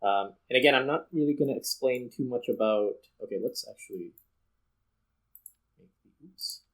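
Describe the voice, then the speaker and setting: A man's voice: medium pitch, moderate pace, rough recording. A man hosting a gameplay video with casual commentary, thinking aloud as he explains. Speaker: video game streamer and host.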